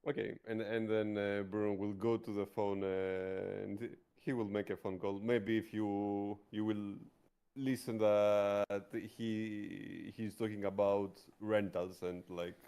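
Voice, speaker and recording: Low, mellow, gravelly man, halting delivery. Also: flat delivery. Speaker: player in a tabletop roleplaying stream. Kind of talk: casual narration of own character's actions.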